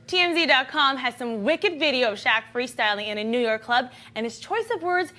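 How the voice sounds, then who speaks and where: A woman's voice: high voice, lively intonation, a little fast, sharp tone. A woman hosting an online entertainment and sports news show, reading scripted items in a brash, sarcastic, slangy manner.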